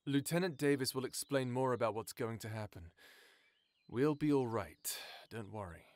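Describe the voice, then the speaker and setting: An actor playing a steady, protective man who leads a survivor camp, speaking calmly and reassuringly. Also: normal speed, no echo, natural voice. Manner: calm, low and reassuring. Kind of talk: full-cast audiobook acting.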